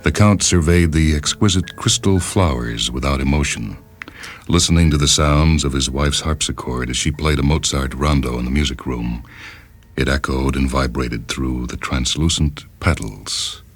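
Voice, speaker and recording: A man reading a literary short story as a radio host, in a measured, deliberate and formal manner, on a 1970s radio recording. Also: extremely low pitch. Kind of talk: scripted literary narration. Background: music bed behind the reading.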